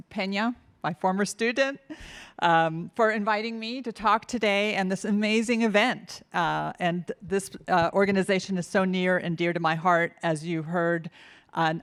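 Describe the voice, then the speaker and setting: Woman, fifties, from Finland, keynote speaker, low voice, halting with fillers and restarts. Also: clean tone. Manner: nervous start, many fillers and restarts. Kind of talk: conference keynote talk. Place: conference hall.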